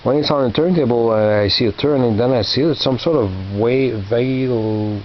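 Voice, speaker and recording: A man in his fifties rambling casually through his flea market finds, halting with many fillers and restarts. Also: mid-range voice. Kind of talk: casual rambling show-and-tell. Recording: muffled with faint hiss.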